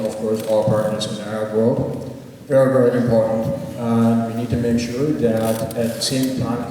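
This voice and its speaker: A man's voice: mid-range voice, dark tone, a little hiss or hum. Hesitant German-accented man in echoey gym. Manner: hesitant, many filler pauses. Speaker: election candidate. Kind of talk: debate answer. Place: school gymnasium. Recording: echoey gym miked from far.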